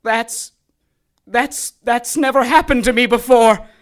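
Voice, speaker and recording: A gloating villain, acted by a woman, speaks slowly in a high voice for a radio drama. The delivery is theatrical and taunting, savoring every cruel word. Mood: rattled, smug. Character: gloating sadistic male villain with magic staff. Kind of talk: audio drama acting.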